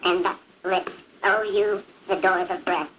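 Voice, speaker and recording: A woman playing a grieving young male lover in an amateur Shakespeare puppet show, slowly and solemnly declaiming. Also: lowish voice, fairly even intonation, fairly bright tone. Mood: tender grief. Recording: noisy amateur student recording.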